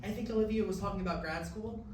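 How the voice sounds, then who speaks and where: A man's voice: high-pitched, fast, darkish tone, close to an everyday voice. A young man acting an awkward, self-blaming college student in a staged reading, speaking casually and hesitantly. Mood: dejected. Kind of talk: staged musical reading, naturalistic dialogue. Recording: very echoey distant room, noisy.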